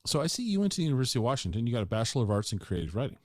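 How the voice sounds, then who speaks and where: A man's voice: mid-range voice, very animated, very fast, a little mellow, no echo, clean studio sound. A man hosting a podcast interview, chatting casually and enthusiastically. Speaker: podcast host.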